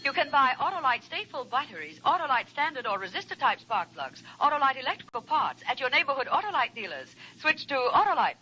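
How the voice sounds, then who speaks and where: Very bright, high saleswoman. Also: very animated, fast, few pauses, a little hiss or hum, poor audio. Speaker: commercial announcer. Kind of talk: 1950s radio sponsor jingle-like pitch.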